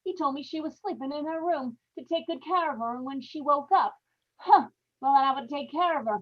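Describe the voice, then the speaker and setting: A woman's voice: high voice, lightly acted. Haunted wife confessing murder, female actor, intense and emphatic, old-time radio horror style, slightly muffled lo-fi sound. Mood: tense dread. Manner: intense, emphatic, repetitive storytelling with dramatic pauses. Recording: dull lo-fi live radio-play audio.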